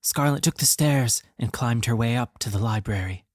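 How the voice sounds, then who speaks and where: An actress playing a sober narrator of eerie events in a scripted audio drama. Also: female voice, extremely low pitch, moderate pace, a little mellow. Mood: sober, mysterious.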